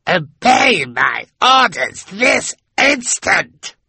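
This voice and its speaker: Man putting on a sneering villain, raised pitch, loud and strained, husky, storybook audiobook. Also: big pitch swings, slow, no echo, heavily acted. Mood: screaming. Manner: sneering, shouting orders. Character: vain cruel villainous man, theatrical.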